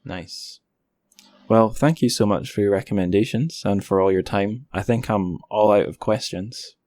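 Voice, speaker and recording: A man's voice: fairly low pitch, moderate pace, a little mellow, no echo. A man hosting a podcast interview in a casual, informal, slightly rambling and self-deprecating way. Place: indoors by a window.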